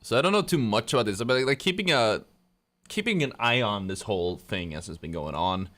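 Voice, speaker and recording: Rambling Swedish-accented streamer, lowish voice. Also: male voice, expressive, a little fast, fairly bright tone. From Sweden. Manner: rambling, loose, many fillers and self-corrections. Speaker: streamer casting a tournament. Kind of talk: casual live-stream commentary.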